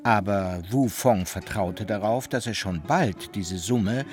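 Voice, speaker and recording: A man narrating a satirical radio play as a wry, measured storyteller who addresses his listeners. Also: on the low side, darkish tone. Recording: music behind the voice.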